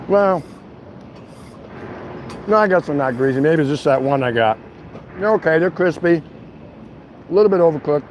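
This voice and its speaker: Higher-pitched American man, folksy vlogger. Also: expressive, normal speed, pauses often, highs cut off, slight background noise. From Milwaukee, United States. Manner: folksy, colloquial, jokey. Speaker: walking vlog host. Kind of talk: casual livestream chat to viewers. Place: outdoors at a city food truck.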